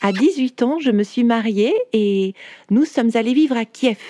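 A woman's voice: a little high, measured pace, natural voice. Woman playing a chatty 19th-century woman writer. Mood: lively. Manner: warm, chatty, speaks directly to the listener. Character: 19th-century woman writer telling her own life story. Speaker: storyteller. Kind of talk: first-person storytelling for children.

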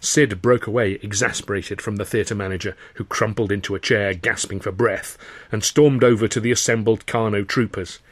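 A man's voice: on the low side, fairly even intonation, normal speed. A man narrating his own comic novel as an audiobook, in a wry storytelling manner. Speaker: author and narrator.

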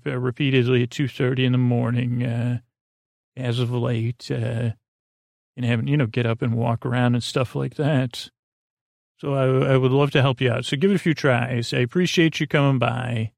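Male sleep podcast host, middle-aged, mid-pitched and croaky, silly meandering monologue with repeats and unfinished thoughts. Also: flat delivery, normal speed, darkish tone, no echo, clean studio sound. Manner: silly, whimsical. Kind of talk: rambling bedtime monologue.

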